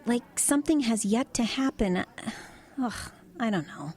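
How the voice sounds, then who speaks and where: An actress playing a wry, low-key woman driver who tells of eerie happenings in a conversational, hesitant way in an audio drama. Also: expressive, a little slow. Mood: uneasy, hesitant. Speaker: lead actress and narrator. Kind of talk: audio drama narration and dialogue.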